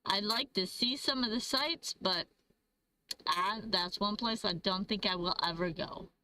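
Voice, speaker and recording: Bright, slow-talking woman host. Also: mid-range voice, rough recording. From Michigan, USA. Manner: chatty, laughs often, reads viewer comments aloud. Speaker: livestream host. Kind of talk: casual trivia livestream chat.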